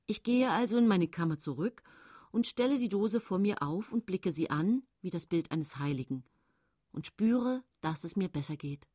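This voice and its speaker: A woman's voice: on the low side, lively intonation, moderate pace, mellow, dry recording, studio quality. Confiding middle-aged female poisoner. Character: cold, confiding female poisoner narrating her own case. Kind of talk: German radio play narration.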